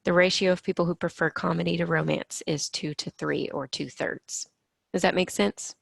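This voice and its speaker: Woman, math teacher, slightly hoarse, gravelly, dry recording, patient explaining. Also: lowish voice, fairly even intonation, normal speed, no echo. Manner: patient, step-by-step explaining with frequent "okay" and "so".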